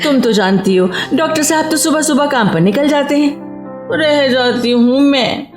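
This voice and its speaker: A woman's voice: sits high, moderate pace, echoey room, music in the background, close to an everyday voice. A woman acting a friendly, curious doctor's wife in a Hindi radio play.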